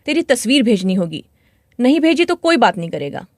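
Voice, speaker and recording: A woman's voice: on the high side, very animated, fairly quick, no room sound, studio quality. A woman voicing a hard, scornful middle-aged transgender woman who runs an escort trade, in a dramatized story. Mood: softer, insistent. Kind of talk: dramatized story dialogue in Hindi.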